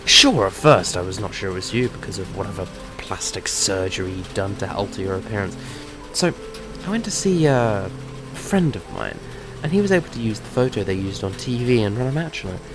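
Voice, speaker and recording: An actor playing a brash, teasing monster hunter and comic book nerd in an audio drama. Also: male voice, on the low side, expressive, fairly quick, over music. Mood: storytelling, smug.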